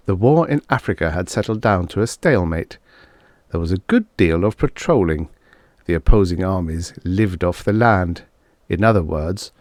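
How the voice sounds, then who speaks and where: A man reading a vintage science fiction audiobook in a measured, formal storytelling manner. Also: low-pitched, a little mellow, dry recording, studio quality. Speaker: audiobook narrator. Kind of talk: scripted narration of vintage science fiction stories.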